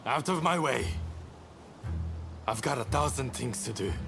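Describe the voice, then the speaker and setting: A man's voice: medium pitch, expressive, a little slow, music in the background. A man voicing a defiant, impatient revolutionary warrior in a dramatic video game cutscene.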